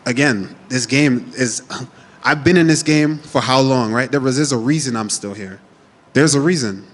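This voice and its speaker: A man's voice: mid-range voice, in a large hall. A man in his thirties who grew up in Jamaica, a fighter answering media questions in a casual, confident, trash-talking way. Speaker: MMA fighter. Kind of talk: media day interview answers.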